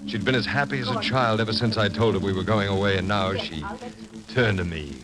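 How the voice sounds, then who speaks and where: An actor playing a tormented, murderous husband in a dramatic, deliberate 1940s radio style, stammering when frightened. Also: male voice, on the low side, natural voice. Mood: grim, confessional. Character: tormented murderous husband, coldly determined then panicking. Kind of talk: 1940s radio acting, first-person narration and dialogue. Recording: old radio recording with music behind.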